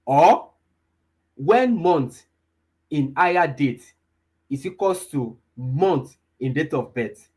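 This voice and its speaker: A man's voice: on the high side, animated, slow, pauses often, darkish tone, slightly rough. A man, teaching a live online data class, repeating himself and often checking whether listeners follow. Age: 20s. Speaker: data analysis instructor.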